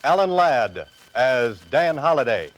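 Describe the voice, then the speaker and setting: A man's voice: medium pitch, sing-song, a little slow, noisy background. A man announcing a 1940s radio show in a grand, declamatory style. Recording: old radio broadcast under loud music.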